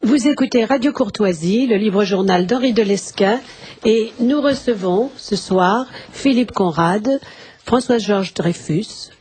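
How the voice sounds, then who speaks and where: A woman's voice: medium pitch, a little slow, darkish tone, slightly rough. A woman reading announcements and listeners' messages aloud on a radio talk show.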